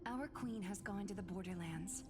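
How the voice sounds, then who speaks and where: An actress playing a noble, devoted sea siren in a video game, with stately, formal theatrical delivery. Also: female voice, medium pitch, normal speed, natural voice. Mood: assured, reverent. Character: noble, devoted female sea siren serving her queen. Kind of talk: theatrical scripted game dialogue.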